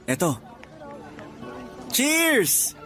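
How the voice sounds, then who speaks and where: A male actor playing an upbeat, loyal young suitor in a Filipino radio drama, chummy and animated. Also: very high-pitched, drawn-out words, faint music behind, a faint crowd, exaggerated voice.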